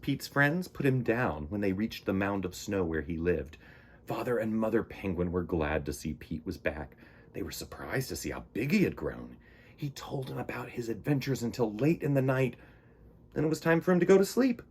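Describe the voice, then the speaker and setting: A man's voice: on the low side, big pitch swings, moderate pace, breathy edge. A man reading a picture book to children as a storytime host, with theatrical, dramatic emphasis.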